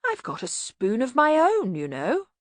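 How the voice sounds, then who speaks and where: A woman puts on a dotty male bursar from a comic fantasy audiobook. He rambles vaguely and fragilely, lost in his own world. The pitch sits well above her own voice and wanders widely. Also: wildly swooping pitch, measured pace, no room sound. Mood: dotty, wavering. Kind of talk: acted audiobook dialogue.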